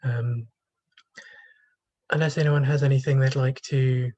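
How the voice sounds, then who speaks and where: A man's voice: mid-range voice, fairly even intonation, a little slow, darkish tone, muffled recording. A man hosting a poetry showcase, speaking informally in a polite, hesitant way. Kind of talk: informal event introduction.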